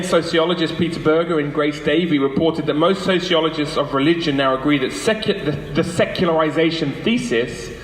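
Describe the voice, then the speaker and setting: Man, mid-range voice, persuasive public lecture, emphatic phrasing, rhetorical questions, heavy room echo. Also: mid-range voice. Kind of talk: public lecture to a live audience. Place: large echoey room, lunchtime public talk. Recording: echoey hall with long reverb.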